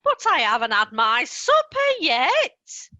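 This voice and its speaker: A woman's voice: a little high, huge pitch swings, slow pace, bright, forceful tone, no room sound, lo-fi sound, heavily acted. A woman playing an indignant, doting, tipsy elderly widowed mother in a comedy radio play.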